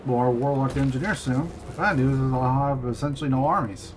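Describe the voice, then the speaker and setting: A male game streamer chats his way through a strategy match, mulling over each move out loud. His voice is a touch raspy and tired, at an ordinary middle pitch, with a faint hum of noise in the background. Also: fairly quick. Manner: relaxed, thinking aloud to himself. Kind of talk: live gameplay commentary.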